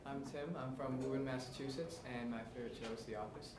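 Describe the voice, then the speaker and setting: A man's voice: medium pitch, fairly even intonation, quick pace. Young man, student, casual introduction, far from mic, noisy echoey classroom. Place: tiered classroom.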